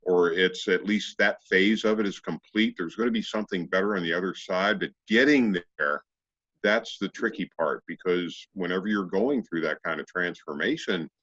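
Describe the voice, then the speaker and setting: A man's voice: low voice, normal speed, a bit bright, breathy edge, no room sound. A man co-hosting a casual spiritual discussion, reflective and searching a little for his words. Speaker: radio show co-host. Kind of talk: casual conversational talk about spirituality. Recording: dull, lo-fi audio.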